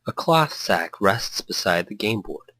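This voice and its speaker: A man with a notably low voice works as a let's player, moving between story narration and loose, casual commentary. He tends to ramble. The sound is dry and close, with very little room in it. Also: sing-song, unhurried, bright, forceful tone, dry recording. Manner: hyped, tangent-prone. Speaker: let's player reading a visual novel aloud.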